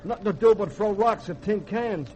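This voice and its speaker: An actor playing a comic, grumbling ship's cook in a 1950s radio drama, with a folksy complaining manner. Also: male voice, high-pitched, normal speed, natural voice. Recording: noisy 1950s radio recording.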